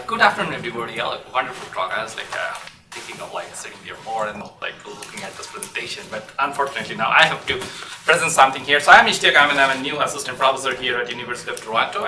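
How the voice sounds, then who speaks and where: A man's voice: mid-range voice, lively intonation, quick pace, bright, forceful tone. A man with a Bangladeshi accent giving an academic lecture in an informal, hesitant way. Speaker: assistant professor presenting research. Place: university lecture room. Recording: echoey room, distant mic, faint noise.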